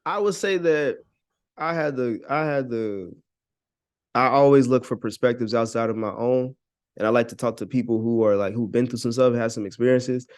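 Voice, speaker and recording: A man's voice: medium pitch, normal speed, darkish tone, clear, resonant tone. A man giving his opinions as a podcast guest, speaking casually and earnestly with plenty of slang. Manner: slangy, earnest, frequent 'you know what I'm saying'. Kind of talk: casual conversational opinion talk.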